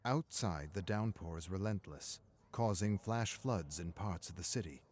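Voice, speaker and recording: Guy with a low voice doing dramatic story narration, reading from a script. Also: unhurried, darkish tone, a little hiss or hum.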